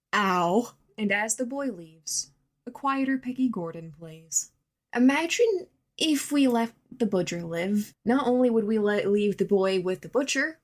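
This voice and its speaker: A woman recording a casual listener message, giving fan commentary in short, punchy phrases. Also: mid-range voice. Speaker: listener sending in a voice submission.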